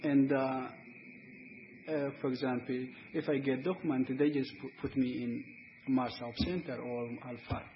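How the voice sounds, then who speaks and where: A man's voice: mid-range voice, steady tone, normal speed, a little hiss or hum. A man speaks at a panel discussion, his phrasing broken and full of fillers as he looks for words. The recording sounds dull and lo-fi, with faint room sound behind. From Ethiopia. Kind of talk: unscripted discussion.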